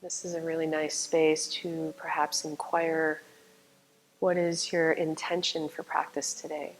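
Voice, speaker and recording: Slow, low-voiced woman guiding meditation. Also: slight background noise, poor audio. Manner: calm, soothing, unhurried, with long pauses. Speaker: yoga nidra meditation teacher.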